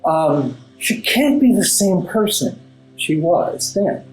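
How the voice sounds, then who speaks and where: A man speaking as an interview guest, explaining and telling stories in a thoughtful, halting way with emphatic asides. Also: on the high side, big pitch swings. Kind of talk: conversational storytelling and explaining in an interview. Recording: roomy echo, music underneath. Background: classical background music.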